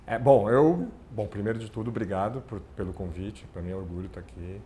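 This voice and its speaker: A man's voice: lowish voice, fairly quick, warm tone, a little hiss or hum. A middle-aged man with a São Paulo accent, a podcast guest chatting casually and rambling with lots of self-corrections. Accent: São Paulo (ABC paulista) Brazilian Portuguese. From São Paulo ABC region, Brazil. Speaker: podcast guest, communications manager. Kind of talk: casual interview chat.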